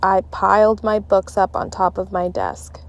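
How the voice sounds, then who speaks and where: Unhurried woman reading a children's story. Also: medium pitch, little pitch movement. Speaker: storybook reader. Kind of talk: expressive children's read-aloud narration.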